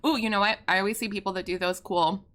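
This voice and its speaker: A young American woman, a little hoarse, chatting casually and rambling with fillers as she talks through a building video from her home setup. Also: mid-range voice, fairly even intonation, fast, fairly bright tone. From United States. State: slightly hoarse. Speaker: YouTuber and streamer. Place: home room with pastel backdrop and fairy lights.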